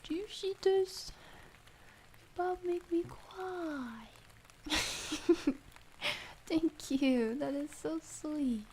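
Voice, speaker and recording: A woman livestreamer speaking softly and gently in ASMR style, drifting between a soft voice and whispers as she chats to viewers. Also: high-pitched, very slow, pauses often, a little hiss or hum. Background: soft rain ambience.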